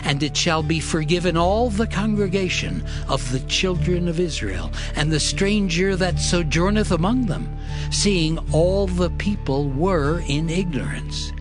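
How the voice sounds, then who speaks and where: A man playing a solemn lawgiver who delivers divine commandments with measured weight in a dramatized scripture reading. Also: on the high side.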